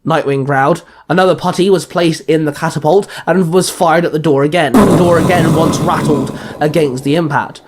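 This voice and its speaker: A man's voice: on the high side, steady tone, moderate pace, hardly any pauses, slight background noise. A man reading out scripted action fiction as a narrator, now and then stumbling over a word and correcting himself. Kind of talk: scripted story narration with acted dialogue.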